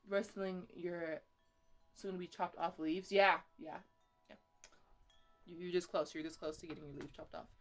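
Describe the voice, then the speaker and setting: A woman plays a chatty botanist in an ASMR roleplay, speaking in a slightly low voice. She rambles and hesitates casually, fussing over her plants like an easily exasperated, playfully scolding mother. Faint music sits behind her. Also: steady tone, moderate pace, long pauses, natural voice. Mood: mock scolding.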